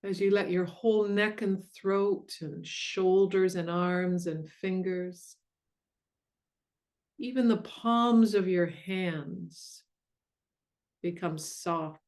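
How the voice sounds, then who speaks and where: A woman leading a guided meditation in a gentle, soothing, unhurried way with long pauses. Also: lowish voice. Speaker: meditation guide and mindfulness coach.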